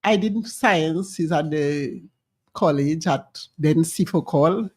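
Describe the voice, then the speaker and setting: A Dominican woman in her sixties, a longtime nurse, talking with warmth and chatty asides as a guest on a radio interview at a studio microphone. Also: low voice, slow, breathy edge. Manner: warm, chatty, with laughter and asides. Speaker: nurse and home health provider, guest.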